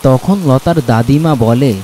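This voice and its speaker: A man's voice: mid-range voice, measured pace, dark tone, a little hiss or hum. A man narrating a scripted cartoon story.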